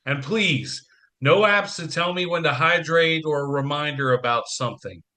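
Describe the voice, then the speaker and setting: Bright, wisecracking male investor. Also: fairly high pitch. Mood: wry, businesslike. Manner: wisecracking, brisk, in charge. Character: wry investor and young father judging startup pitches. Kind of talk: audio play acting.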